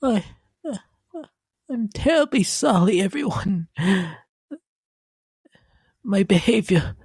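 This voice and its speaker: A woman voicing a deranged, refined female pony in a horror dramatic reading, genteel and theatrical, with a breathy voice that often drops to a whisper. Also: mid-range voice, expressive, a little mellow, dry recording, studio quality, full character voice. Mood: guilty, distraught. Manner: theatrical, genteel, drawn-out delivery. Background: background music.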